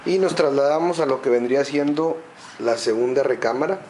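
A man, at an ordinary middle pitch, talks slowly through an empty indoor home and lists each feature in set phrases. His voice is picked up by a handheld camera mic. Faint noise sits behind it. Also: a little mellow. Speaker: home sales guide. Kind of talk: walkthrough narration.

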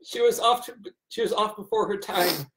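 A man's voice: high-pitched, moderate pace, fairly bright tone, roomy sound, slight background noise, rough recording. A man voicing a haughty, insulting male talking tabby cat in a dramatic book reading, a little back from the mic. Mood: dramatic, demanding. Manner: pompous, dramatic. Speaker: reader of the cat's part.

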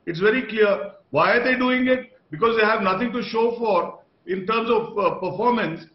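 A man's voice: high voice, normal speed. A middle-aged man with an Indian accent, a party spokesperson arguing in a TV debate with emphatic repetition and rhetorical questions. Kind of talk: TV debate argument. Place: small room, indoors, on video call. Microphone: video call. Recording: dull, echoey video-call sound.

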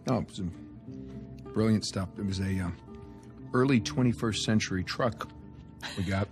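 A man's voice: fairly low pitch, normal speed, over music, close to an everyday voice. An actor playing a wisecracking, restless American husband in his thirties, talking casually and hesitantly, as in a video game cutscene. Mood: dry, joking.

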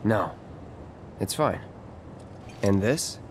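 A man playing an aloof young mercenary in a video game, speaking in a curt, deadpan, guarded way. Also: on the low side, very slow, slight background noise. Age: young adult. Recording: game music behind the voice.

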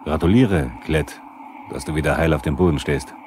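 An actor playing a sober, probing narrator who addresses the characters in a 1970s radio drama. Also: male voice, a bass voice, normal speed, a little mellow. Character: probing male narrator, the characters' inner questioner. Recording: noisy 1970s vinyl radio play.

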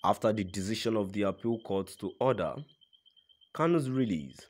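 A man's voice: fairly low pitch, animated, normal speed, darkish tone. A man narrating a scripted news report in a formal read-aloud manner.

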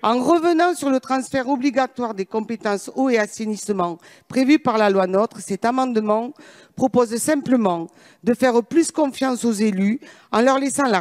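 A woman's voice: a little high, moderate pace, a little mellow. A middle-aged woman giving a formal parliamentary speech, partly read from notes, into a close microphone. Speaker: member of parliament. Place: large parliamentary chamber. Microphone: gooseneck microphone held close.

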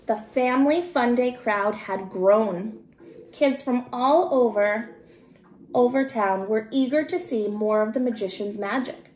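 A woman reads a children's story aloud at an unhurried pace. Her voice sits fairly high, with deliberate emphasis on key words. The home recording is roomy, picked up from a camera at table distance. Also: a little mellow, slight background noise. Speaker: storybook reader. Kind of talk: expressive children's read-aloud.

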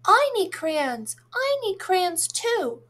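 A woman's voice: very high voice, sing-song, slow pace, fairly bright tone, voice pushed up, heavily acted. A woman voicing earnest, insistent young children in a picture book. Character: young children bargaining over crayons, earnest.

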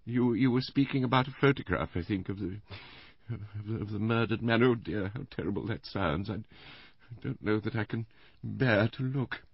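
A man's voice: on the low side, normal speed, no echo, pitched above the performer's own voice. A man voicing a middle-aged invalid wife in an audiobook, plaintive, hesitant and self-dramatising, with a faint whining voice. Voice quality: faint, whining, breathy sighing. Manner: hesitant, fussy, martyred. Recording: slightly dull, lo-fi dry recording.

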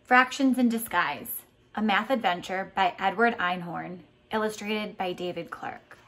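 A woman reading a picture book aloud as a teacher, expressive and deliberate, pausing to set tasks. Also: mid-range voice, rough recording. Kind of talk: expressive children's read-aloud with lesson prompts. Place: indoors at home, tiled floor.

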